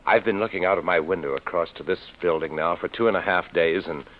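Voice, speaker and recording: An actor playing an earnest, insistent man with a measured, polite, slightly hesitant manner in a vintage radio drama. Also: deep voice, lightly acted. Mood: earnest, uneasy. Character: earnest, insistent stage actor worried by what he saw. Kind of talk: 1940s-50s radio drama acting. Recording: muffled vintage radio recording.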